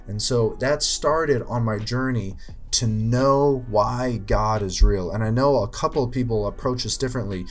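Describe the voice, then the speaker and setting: A man's voice: mid-range voice, moderate pace, soft background music. Earnest young man explaining faith. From Ukraine. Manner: earnest, explanatory, with many fillers. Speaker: youth minister. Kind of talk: scripted apologetics talk.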